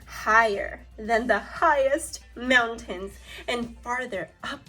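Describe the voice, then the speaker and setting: A woman with an American accent teaching a read-aloud lesson to young children, expressive and speaking straight to her pupils. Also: a little high, takes it slowly, faint music behind, poor audio. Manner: animated, expressive, addressing pupils directly. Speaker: elementary school teacher.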